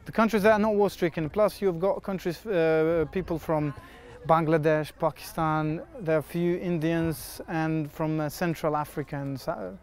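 A man, an aid worker at a camp, explaining frankly with some restarts. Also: on the high side.

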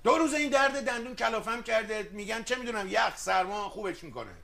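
An actor playing a grumpy man who has had a toothache for days, whiny and gravelly. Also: high voice, expressive, bright, forceful tone, full character voice. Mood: irritated. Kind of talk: sitcom acting.

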